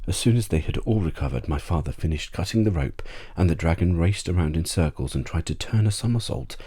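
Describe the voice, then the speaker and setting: Male bedtime-story reader for ASMR audiobook: deep, dark-toned, measured storytelling. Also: breathy edge.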